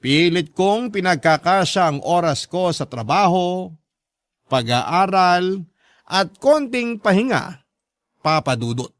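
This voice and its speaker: Guy narrating radio drama, higher voice, measured n sentimental, really dry close sound. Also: animated, dry recording. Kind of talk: scripted first-person story narration.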